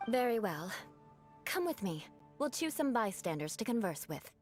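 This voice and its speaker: A woman's voice: a little high, sing-song, normal speed, faint music behind. A woman voicing a proud young noblewoman knight who speaks stiffly and formally. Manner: haughty, formal old-fashioned phrasing.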